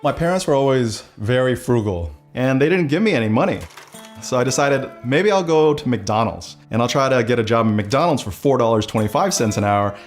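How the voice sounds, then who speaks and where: A man in his forties speaking in a measured, reflective way as an interviewee in a documentary. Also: mid-range voice, lively intonation, soft background music.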